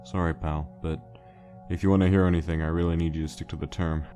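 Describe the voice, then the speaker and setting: A low, weary male skeleton monster played by a man tells his story over faint music. He is a guilt-laden joker, subdued and wistful, halting as he speaks in a dramatic comic dub. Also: steady tone, warm tone, lightly acted. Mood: apologetic, resigned.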